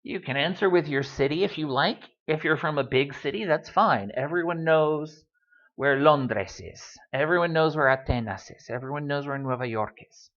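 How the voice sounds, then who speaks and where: A man's voice: on the high side, moderate pace, fairly bright tone, lo-fi sound. A man giving a casual Spanish lesson, full of wry asides and ums. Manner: chatty, wry asides, many ums and uhs. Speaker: Spanish teacher.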